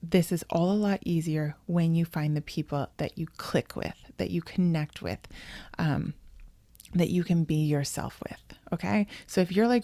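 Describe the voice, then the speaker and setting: A woman's voice: lowish voice, dark tone, dry recording. Chatty woman podcast host, dry sound. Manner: chatty, many fillers and little laughs. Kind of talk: casual podcast chat. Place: home setup, remote call.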